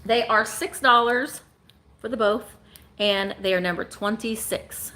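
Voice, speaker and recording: A woman's voice: a little high, measured pace, bright, forceful tone. A woman in her forties hosting a live vintage sale from home, chatting and joking as she shows items. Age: 40s. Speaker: online vintage seller.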